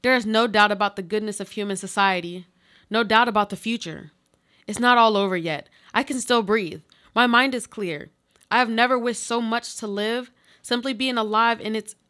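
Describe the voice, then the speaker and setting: A woman's voice: mid-range voice, pushed and bright, natural voice. A woman playing a desperate trapped woman, pleading and reproachful in a dramatic radio play monologue. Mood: hopeful, pleading.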